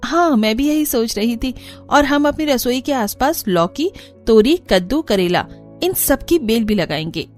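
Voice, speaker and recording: A woman's voice: on the high side, lively intonation, a little slow, darkish tone, faint music behind. A woman voicing a cheerful, determined young village woman in a cartoon, earnest and eager. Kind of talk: animated Hindi cartoon story acting.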